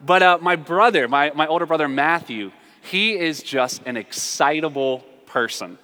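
An American man preaching a conversational, humorous sermon full of storytelling, emphatic and animated, repeating phrases for effect. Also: a little high, moderate pace. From southern United States. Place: large reverberant room, live before a congregation. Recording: reverberant hall.

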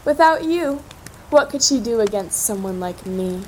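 A woman's voice: a little high, animated, moderate pace, a little mellow, slight background noise, close to an everyday voice, low-quality recording. A woman playing a cruel, hungry cannibal captive who savors her menacing taunts in an audio drama. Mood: taunting.

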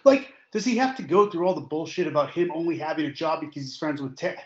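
An earnest but needling radio caller, acted by a man in a comedy audio play. He rambles pointedly in a fairly high voice, with roomy, slightly dull sound. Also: quick pace, lightly acted. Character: needling, earnest male wrestling fan calling in.

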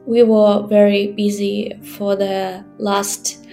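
Soft-spoken Ukrainian woman, slow and even. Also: mid-range voice, gravelly, faint music behind. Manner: halting, careful speech with pauses and fillers. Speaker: interviewee, language teacher. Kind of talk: personal account in a podcast interview.

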